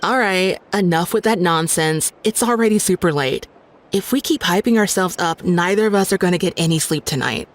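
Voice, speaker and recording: An actress playing a brash young woman in a game, snappy and quick to scoff. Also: lowish voice, lightly acted. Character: young woman, brash and proud of her land's heroes. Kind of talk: game voice acting. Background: game music.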